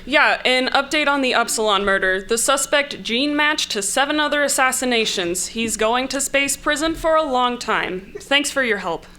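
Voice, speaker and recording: A woman playing a brisk, unimpressed, no-nonsense space detective, dry and official, in a comic sci-fi audio drama. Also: sits high, expressive, pushed and bright.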